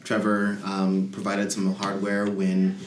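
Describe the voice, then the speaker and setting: A low-voiced man tells his story with eager, rambling enthusiasm as a podcast guest. He sounds like he is in an echoey room with a faint hum of ambience behind him. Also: monotone, slow. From Denver area. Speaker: guest, hackathon winner. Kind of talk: casual podcast chat.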